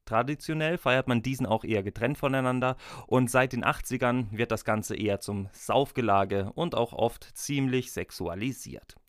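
A man's voice: medium pitch, normal speed, no echo, clean studio sound. A man hosting a podcast, introducing topics and addressing his listeners and guests. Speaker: podcast host, freelance wedding speaker.

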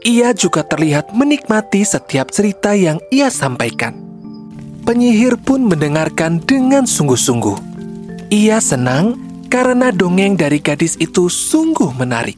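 A woman's voice: medium pitch, sing-song, unhurried, a little mellow. A woman narrating a scripted children's story as a storyteller. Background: faint music.

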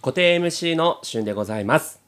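Animated male podcast host. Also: on the high side, fast talker. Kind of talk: hosted podcast with scripted narration.

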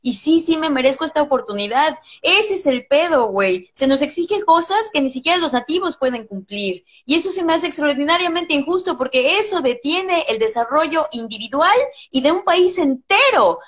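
A woman's voice: high-pitched, expressive, moderate pace, a bit bright. A woman, an English teacher talking in a casual interview, blunt and passionate, swearing freely.

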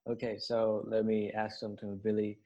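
A male co-host asks a question slowly and with curiosity. His voice is low and flat, and the recording sounds dull and lo-fi. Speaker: podcast co-host. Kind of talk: casual interview question.

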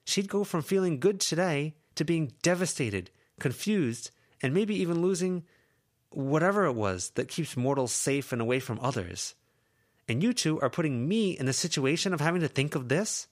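A man's voice: a little high, expressive, normal speed, voice pushed up, lightly acted. A man voicing an exasperated teenage girl who scolds her friends with tense, pleading frustration. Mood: frustrated scolding.

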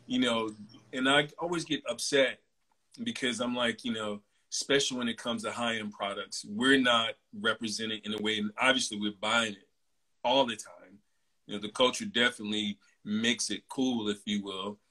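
A man in his mid-forties hosting a casual interview, talking in a relaxed, chatty way with plenty of fillers. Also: on the low side, normal speed. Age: 40s. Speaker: host.

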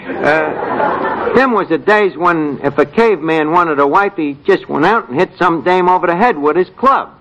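Wisecracking New York tavern manager, swooping delivery, muffled vintage radio comedy. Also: male voice, a little high, expressive, normal speed, a bit bright, some chatter behind. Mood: mock-serious. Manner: wisecracking, mangles grammar and sayings. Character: Archie, wisecracking uneducated tavern manager, folksy philosopher. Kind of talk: 1940s radio comedy acting. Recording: muffled, noisy 1940s radio with audience.

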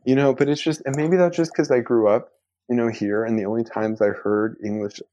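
A man's voice: medium pitch, fairly even intonation, fairly quick, mellow, no echo. A man with an American accent chatting casually and playfully, with lots of filler words. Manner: loose and playful, full of "like" fillers. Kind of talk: casual chat. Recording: slightly dull, dry sound.

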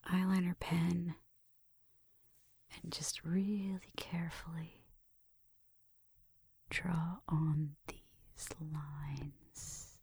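A woman performing an ASMR roleplay in a gentle, breathy whisper, murmuring through each step close to the microphone. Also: sits low, little pitch movement, very slow, long pauses, no echo. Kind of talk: ASMR roleplay, soft personal attention. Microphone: close microphone, dry sound.

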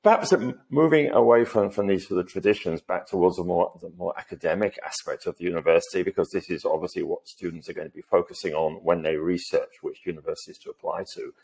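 Low, gravelly, husky-voiced male host. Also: darkish tone. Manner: polite, measured questioning. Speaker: podcast host. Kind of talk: podcast interview questions.

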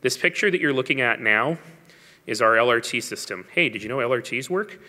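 A man's voice: mid-range voice, animated, a little fast, background noise. A man giving a public speech as a mayor, telling stories with wry asides and emphasis. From Canada. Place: large hall. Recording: long hall reverb.

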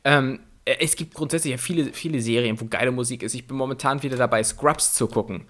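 A man's voice: medium pitch, fast talker, a bit bright. A young man co-hosting a podcast, rambling casually with lots of laughs. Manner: rambling, laughs often, many fillers. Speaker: podcast co-host. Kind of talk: casual chat.

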